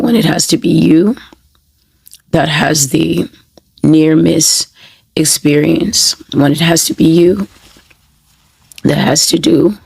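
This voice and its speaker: A woman speaks a sermon with a hoarse, breathy, gravelly voice. She sits a little low and takes her time, building each point through repeated phrases. The sound is dry and close. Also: darkish tone, dry recording. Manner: slow, measured and repetitive, with emphatic phrasing. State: hoarse, has lost her voice. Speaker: prophetic minister. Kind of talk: spoken sermon to camera. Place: New York City.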